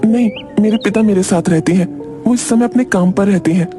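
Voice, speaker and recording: A man's voice: high-pitched, a little fast, music in the background, voice pushed up, natural voice. A man voicing a coy, guarded young woman in a cartoon story.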